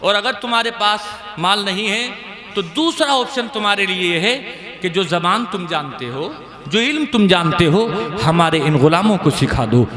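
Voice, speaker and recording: A man preaching a fervent sermon to a crowd, his delivery swelling into impassioned shouts. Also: sits high, expressive, moderate pace. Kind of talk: Islamic sermon. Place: large gathering. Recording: echoey hall with crowd.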